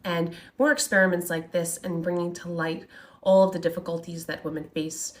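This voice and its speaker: A woman with a slightly low voice gives conversational commentary. She presents like a host, dropping in wry asides and rhetorical questions as she goes. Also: normal speed.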